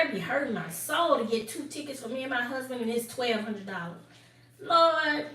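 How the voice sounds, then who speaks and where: A woman's voice: a little high, bright, forceful tone. A woman in her forties chatting casually with her viewers on a live stream, talking straight to them and laughing often. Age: 40s. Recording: echoey room, mic at a distance, faint noise.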